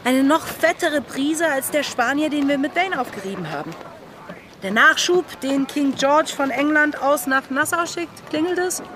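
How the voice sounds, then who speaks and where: An actress playing a commanding, impatient young female pirate in an audio drama, speaking with sharp insistence. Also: sits high, moderate pace, noisy background, close to an everyday voice. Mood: urgent, insistent.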